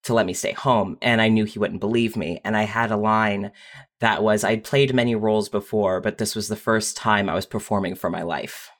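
Candid young adult storyteller, medium-pitched voice. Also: steady tone. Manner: candid, halting, frequent repeats. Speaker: podcast guest writer. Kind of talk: casual personal storytelling.

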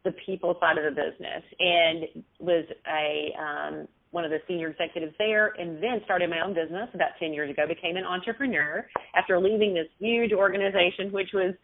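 A woman's voice: on the low side, steady tone, fairly quick, few pauses. Texan woman on a tinny phone line. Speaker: author and business owner, guest. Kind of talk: casual interview over the phone.

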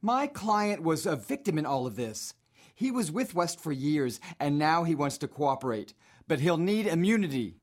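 An actor playing a smooth, confident 1920s defense lawyer, persuasive and self-assured, in an old-time radio play. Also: male voice, on the high side, sing-song, moderate pace. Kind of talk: 1930s radio acting.

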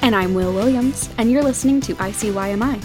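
A woman's voice: on the high side, fast, over music. A woman chatting casually as a podcast guest, enthusiastic and droll. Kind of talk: casual chat.

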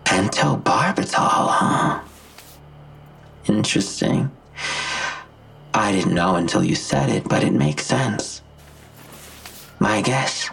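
Gravelly, husky, low-voiced male villain. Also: pushed and bright, full character voice. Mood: musing. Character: menacing, taunting male villain, cool and self-assured. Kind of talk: audio drama acting.

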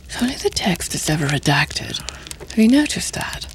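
An actress playing a sly, seductive middle-aged intelligence handler, teasing and insinuating in formal, deliberate diction. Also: female voice, fairly low pitch, big pitch swings, background noise. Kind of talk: audio drama acting.

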